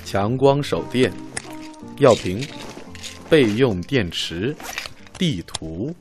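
A man's voice: mid-range voice, lively intonation, very slow, a little mellow, soft background music. A man in his thirties from Guilin playing a cycling traveler talking to his dog in a radio drama. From Guilin, Guangxi, China. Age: 30s. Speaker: cycling traveler, dog's owner.

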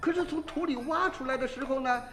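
An actor playing a blustering, exasperated rural village head in a Chinese radio drama, talking in a folksy, roundabout way. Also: male voice, very high voice, fast, fairly bright tone. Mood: flustered, insistent. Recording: roomy echo, faint music behind.

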